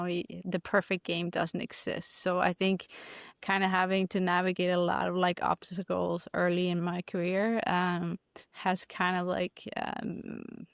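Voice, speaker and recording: A woman's voice: on the low side, vocal fry, no room sound, studio quality. A woman from Denmark, a hockey player as a guest, answering interview questions thoughtfully with many restarts.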